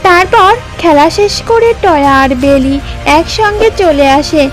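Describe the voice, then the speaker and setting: A woman's voice: very high-pitched, expressive, unhurried, music in the background, rough recording. A woman narrating a scripted children's cartoon story.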